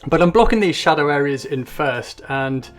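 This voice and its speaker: A man's voice: fairly high pitch, animated, normal speed, faint music behind. A man from England narrating a painting tutorial in a friendly, explanatory way.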